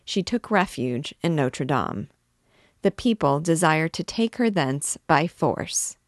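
A woman's voice: deep voice, sing-song, dry recording, studio quality, full character voice. A woman voicing a sly, spiteful male royal barber who speaks coldly and carefully. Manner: cold, measured.

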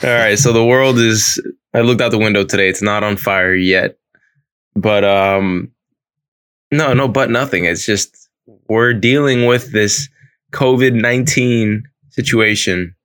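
Teasing young man with a low voice. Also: measured pace, fairly bright tone, clear, resonant tone, no room sound. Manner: teasing, joking banter. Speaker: podcast co-host. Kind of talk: casual chat. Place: home, on a video call.

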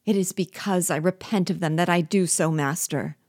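A woman's voice: on the low side, moderate pace, a little mellow, no echo, studio quality. A woman voicing a spiteful male dwarf jester in an audiobook, menacing at first and then begging frantically for his life. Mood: pleading. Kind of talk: audiobook reading.

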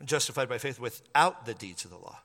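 A man's voice: mid-range voice, lively intonation, fairly quick, vocal fry, sharp tone. A man preaching a sermon as pastor of his church, driving points home with emphatic repetition. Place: church sanctuary. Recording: long reverb of a hall.

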